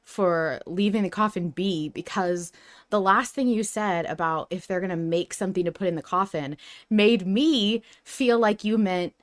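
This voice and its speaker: A woman's voice: mid-range voice, normal speed. A woman hosting a podcast in casual, informal discussion. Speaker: podcast host.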